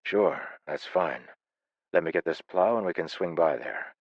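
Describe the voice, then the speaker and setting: A calm, wry grown farmer in a storybook, voiced by a man reading an audiobook, speaking low and gravelly with quiet amusement. The voice is husky and close, and the recording sounds dull and muffled. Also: unhurried, no room sound, exaggerated voice.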